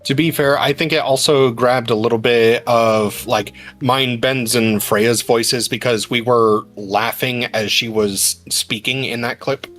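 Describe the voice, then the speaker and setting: A man chatting casually on a livestream, explaining his points in a halting way. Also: medium pitch, steady tone, a bit bright, low-quality recording.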